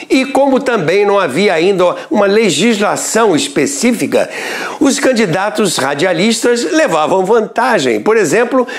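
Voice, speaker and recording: Lively male documentary narrator. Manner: measured, storytelling delivery with lively pitch. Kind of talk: scripted narration.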